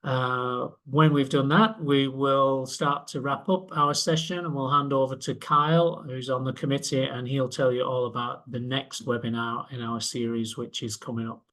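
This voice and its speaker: A man's voice: mid-range voice, steady tone, a bit bright. Hesitant man hosting a webinar. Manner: halting, many fillers and restarts. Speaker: webinar host. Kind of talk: online webinar introduction.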